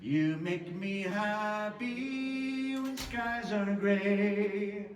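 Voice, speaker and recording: A man's voice: high voice, very slow. Distant, echoey man entertaining a room. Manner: cheery, encouraging showman. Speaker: live musical entertainer. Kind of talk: live sing-along show patter and singing. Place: indoor room at a care facility. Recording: distant, echoey room with loud guitar music.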